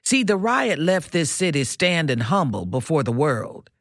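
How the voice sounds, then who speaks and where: A woman's voice: low-pitched, sing-song, measured pace, no echo, clean studio sound, exaggerated voice. An actress playing a commanding, indignant early twentieth-century activist woman in a radio drama, questioning insistently and declaiming. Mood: grave, indignant.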